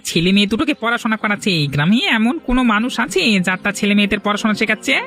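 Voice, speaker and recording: A man puts on a chattering cartoon village gossip. The voice is extremely high and sharp, with rapid delivery and doubtful, gossipy phrasing. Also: soft background music. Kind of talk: Bengali cartoon dialogue dubbing.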